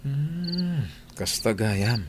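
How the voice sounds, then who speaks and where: A man's voice: medium pitch, lively intonation, extremely slow, noisy background. A man playing a friendly, curious and protective employer in an audio drama. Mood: sympathetic, concerned. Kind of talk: audio drama acting in dialogue.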